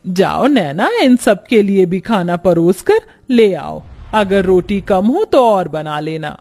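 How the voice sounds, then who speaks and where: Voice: mid-range voice, lively intonation, slow pace, mellow. A man voicing a middle-aged mother-in-law in a family cartoon, bossy but caring, giving familiar instructions.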